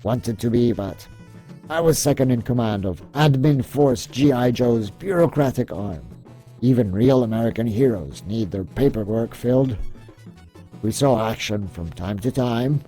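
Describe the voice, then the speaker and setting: Wistful military pen-pusher played by a man: deadpan comic-dub delivery, mellow voice, faint music underneath. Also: mid-range voice, a little slow, lightly acted. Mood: wistful, rueful. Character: earnest, wistful human G.I. Joe paperwork officer. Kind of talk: amateur comic dub voice acting.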